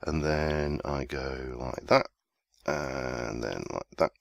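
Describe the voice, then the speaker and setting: A man with an extremely low, bassy voice talks through his game in an easygoing, rambling way. He sounds chesty and a little congested from a summer cold. The recording is dry and close, with no room sound. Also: takes it slowly, creaky, no room sound. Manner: rambling, self-deprecating, easygoing. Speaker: let's play host. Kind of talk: casual gameplay commentary.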